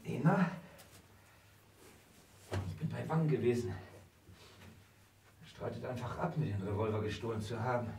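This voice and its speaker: An actor playing a brooding, detached gentleman in a radio drama, speaking reflectively and often hushed, with sudden urgency. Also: mid-range voice, expressive, moderate pace, creaky, long pauses, a little hiss or hum. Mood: agitated, explaining. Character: brooding, detached gentleman in love with an endangered woman.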